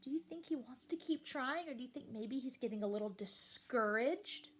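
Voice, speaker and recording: A woman's voice: high voice, a little hiss or hum. A woman reading a picture book aloud to young children, expressively and with questions to the listeners. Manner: animated, slow and deliberate, addressing young children.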